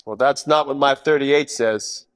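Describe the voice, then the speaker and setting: A man playing a wisecracking, world-weary 1940s private detective, narrating a radio noir parody in deadpan hard-boiled style. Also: mid-range voice, unhurried, clear, resonant tone, big-room sound, natural voice. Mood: wry, deadpan.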